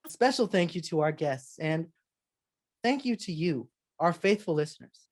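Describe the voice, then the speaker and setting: A woman's voice: low voice, lively intonation, moderate pace, a little mellow. A woman hosting as a 1940s-style radio announcer, reading a script with theatrical showmanship. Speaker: radio show host, announcer.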